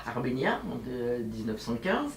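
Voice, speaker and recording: A woman's voice: a bass voice, sing-song, unhurried, distant mic. A female interviewer asks her questions informally, rephrasing as she goes. She sounds away from the microphone, in a roomy space with faint background noise.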